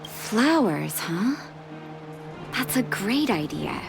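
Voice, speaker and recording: An actress playing a gentle, composed young woman doctor in a video game, speaking softly and with measured care. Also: medium pitch, big pitch swings, gravelly, heavily acted. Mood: warm, relaxed. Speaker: game character, underground doctor. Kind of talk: acted video game dialogue. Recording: studio voice over game music.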